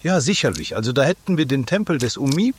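Casting: man as courteous hotel porter, animated intonation, matter-of-fact, radio comedy, slight background noise. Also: medium pitch, fast talker, natural voice. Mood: helpful. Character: polite, obliging male hotel porter. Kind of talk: amateur comedy radio play. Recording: faintly noisy 1980s radio recording.

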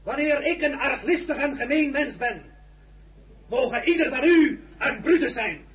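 An actor playing an embattled, defiant general who addresses parliament in grandiose, declamatory phrases in an audio drama. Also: male voice, extremely high pitch, animated, background noise. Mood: defiant, aggrieved. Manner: declamatory, halting public oratory. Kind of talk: theatrical political speech in audio drama. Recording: loud crowd clamour behind.

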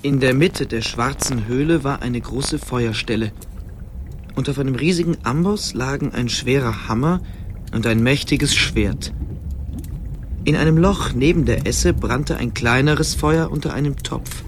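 An actor narrating a fantasy adventure as the storyteller of a scripted radio drama. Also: male voice, medium pitch, measured pace, background noise, lightly acted. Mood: atmospheric.

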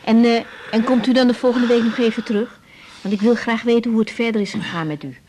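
An actress playing a caring, practical young female doctor in a radio drama, asking and explaining in a brisk, direct way. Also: on the high side, steady tone, darkish tone, slight background noise, natural voice. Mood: firm, practical. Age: young adult.

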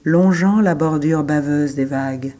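A man reciting literary prose in a radio production, in short measured phrases with pauses between them. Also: fairly high pitch, warm tone.

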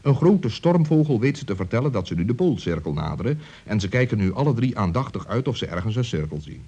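A man narrating a scripted children's story as storyteller, expressively, with dramatic emphasis and drawn-out words. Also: lowish voice, very animated, warm tone, breathy edge, dull audio.

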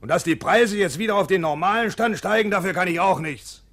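A man's voice: high-pitched, brisk, a bit bright. A man playing an exasperated, defensive business adviser in a radio drama. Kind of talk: radio play acting.

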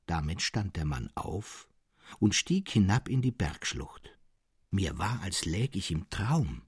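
A man reading literary fiction as narrator, in a measured, dramatic and expressive way. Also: sits low, husky, no room sound, clean studio sound. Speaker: narrator, reader.

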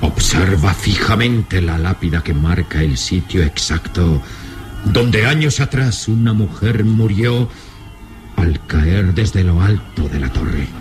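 A man narrating a gothic adventure radio serial in a solemn, suspenseful manner with deliberate phrasing. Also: low voice, lively intonation. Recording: old radio serial with dramatic music. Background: dramatic music.

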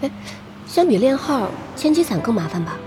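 A lively, high-voiced young friend. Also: female voice, moderate pace, music in the background. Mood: friendly, curious. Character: friendly, supportive young female pro gamer on the phone. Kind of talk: Chinese audio drama acting.

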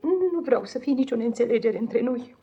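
An actress playing a meek, anxious, affectionate young wife in a radio play, pleading and hesitant in an apologetic way. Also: female voice, fairly high pitch, expressive, dark tone, slightly rough, highs cut off. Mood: submissive apology. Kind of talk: 1950s radio theatre acting.

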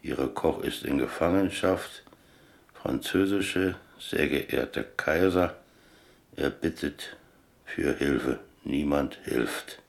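Casting: pleading prisoner-cook, radio play, low voice, halting broken grammar. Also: male voice, vocal fry, full character voice. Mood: solemn, pleading. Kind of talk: radio drama acting.

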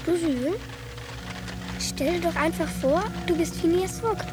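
A high-pitched, sassy girl, insistent in her play-acting. It is a radio drama, with clear cricket noise behind her. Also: unhurried. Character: sassy young girl joining boys' make-believe.

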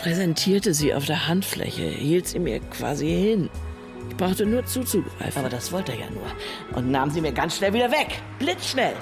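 A woman's voice: fairly low pitch, very animated, moderate pace, over music. An actress playing a bitter, coarse middle-aged woman who tells her story sardonically in a radio drama, in colloquial speech. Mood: exasperated, defensive. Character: bitter, foul-mouthed middle-aged woman telling of prison. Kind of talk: first-person radio drama narration.

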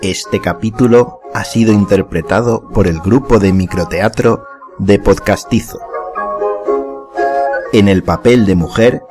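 A man's voice: fairly low pitch, measured pace. A man reading a scripted credits announcement as a radio programme announcer. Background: music.

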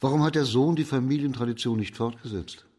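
A man playing an authoritative, shrewd judge in a radio crime drama, speaking formal in a measured, commanding way. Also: mid-range voice, dark tone, breathy edge, lightly acted. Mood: lofty, commanding. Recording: reverberant hall.

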